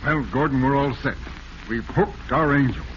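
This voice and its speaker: A man's voice: medium pitch, moderate pace, close to an everyday voice. An actor plays a scheming male producer in a 1940s radio crime play. His mid-range voice is clipped and hard-boiled, full of self-assurance. The sound is dull, with a faint layer of noise. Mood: brisk confident. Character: scheming, brusque crooked theatrical producer. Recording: muffled, faintly noisy vintage radio recording.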